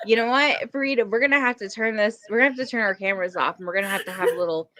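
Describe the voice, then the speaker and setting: A woman's voice: medium pitch, very fast, pushed and bright. A woman hosting a podcast, chatting casually about a TV show in a blunt, joking manner with plenty of swearing. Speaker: podcast host.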